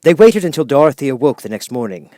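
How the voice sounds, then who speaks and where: A man reading a children's audiobook as narrator, with expressive, dramatic storytelling. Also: mid-range voice, quick pace, dry recording, studio quality.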